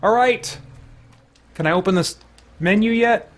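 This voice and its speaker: Higher-voiced man streaming a game. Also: a little slow. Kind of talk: casual game commentary; reads game dialogue aloud. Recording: music playing behind the voice. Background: music.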